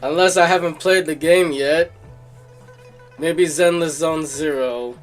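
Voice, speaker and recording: A man's voice: on the high side, takes it slowly, faint music behind. A man doing casual commentary as he streams a game playthrough.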